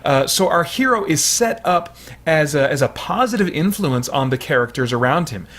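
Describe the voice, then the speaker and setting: A man's voice: a little high, expressive, normal speed, fairly bright tone. A man hosting a video essay, explaining in an informal, analytical way with frequent hedging.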